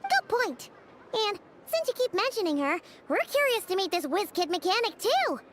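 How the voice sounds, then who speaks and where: A woman's voice: very high-pitched, sing-song, moderate pace, slight background noise. A woman voicing a tiny, childlike fairy companion in a video game, with a perky manner. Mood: chatty. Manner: perky, speaks of herself in third person. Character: tiny childlike fairy companion, cheerful, curious, soft-hearted. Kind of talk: animated video game voice acting. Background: game music.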